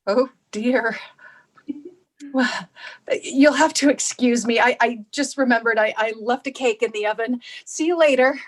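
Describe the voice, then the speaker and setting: A high voice with a hesitant, stammering delivery from a woman acting. She is a naive, well-meaning suburban housewife, easily flustered, in a staged reading of a play. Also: a little slow.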